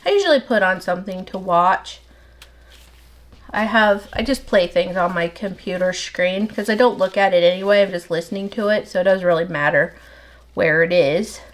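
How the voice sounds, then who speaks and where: A middle-aged woman soap maker with a slightly nasal voice, chatting casually and rambling as she works, talking fast without pausing for breath. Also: medium pitch. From United States. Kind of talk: casual chat while working.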